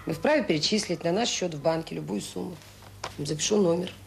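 An actress playing a kind but firm middle-aged director of a children's home in a radio drama, speaking briskly and composedly. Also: female voice, lowish voice, creaky, a little hiss or hum. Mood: firm refusal. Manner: brisk, composed, reasoning with rhetorical questions. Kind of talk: Soviet radio drama acting.

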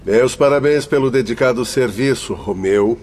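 A man's voice: mid-range voice, normal speed, a little mellow, slight background noise, natural voice. An actor playing a kindly, courteous male doctor, formal and reassuring, in a radio drama.

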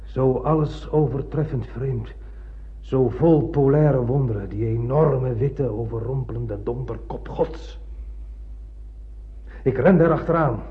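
An actor playing an obsessed, blind older spaceship captain in a radio drama, declaiming poetically and grandly. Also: male voice, mid-range voice, slow pace, close to an everyday voice. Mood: fanatical, fervent. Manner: declamatory, poetic, swinging from hushed to ranting. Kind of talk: theatrical radio drama monologue. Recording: muffled old radio play recording.

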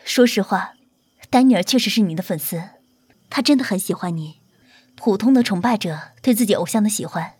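A woman's voice: mid-range voice, lively intonation, fairly quick, a little mellow, breathy edge, dry recording. A woman playing a courteous, protective celebrity manager in an audio drama, with a formal, polite and composed manner. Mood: apologetic, polite.

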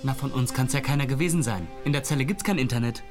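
A sardonic detainee, played by a man, answers with dry scorn. Soft music plays underneath. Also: mid-range voice, fast, lightly acted.

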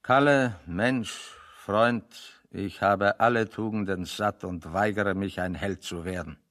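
An actor playing a weary, eloquent refugee intellectual in a radio play, speaking in a deliberate, rhetorical and dryly ironic way. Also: male voice, fairly low pitch, fairly bright tone. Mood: fed-up, emphatic. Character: weary, eloquent male refugee intellectual, sick of being virtuous. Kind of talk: 1970 German radio play dialogue.

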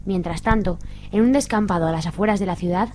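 Mid-pitched woman narrating radio soap. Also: quick pace, darkish tone.

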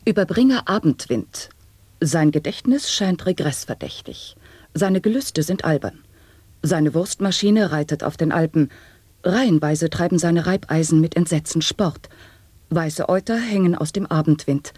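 Slow breathy chanting of nonsense poems,, husky, dry studio. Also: male voice, on the high side, dry recording. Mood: recited. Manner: slow, hushed wordplay chanting. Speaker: voice in a sound-poetry radio play. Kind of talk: 1980s sound poetry recitation.

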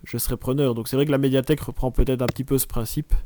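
A man's voice: medium pitch, steady tone, very fast, dark tone, a little hiss or hum. A man with a Liège accent hosting a casual tech chat, often searching for words and restarting. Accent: Liège, Belgian French. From Belgium.

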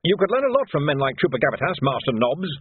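A man's voice: a little high, big pitch swings, quick pace, breathy edge, no room sound, pitched above the performer's own voice. A man voicing a stiff, flustered army major who speaks in a clipped, proper way. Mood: stern. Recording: muffled phone-like recording.

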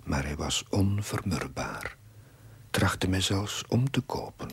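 Village chaplain, period TV drama voice-over. Low, heavily husky, measured, confessional. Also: male voice, lively intonation, full character voice. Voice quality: husky, breathy. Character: earnest village chaplain reflecting on his life in diary narration. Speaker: narrating main character. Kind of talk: scripted diary narration in a TV period drama.

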